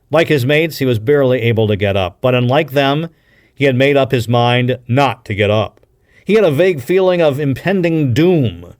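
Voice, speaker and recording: A man reading an adventure novel aloud with a deliberate, dramatic storytelling delivery, like a practiced broadcaster. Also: mid-range voice. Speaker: audiobook reader, radio broadcaster.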